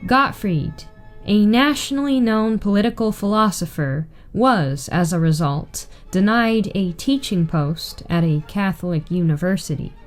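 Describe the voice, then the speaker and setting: A woman reading a written political article aloud in a measured, deliberate way. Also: mid-range voice, faint music behind. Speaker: reader.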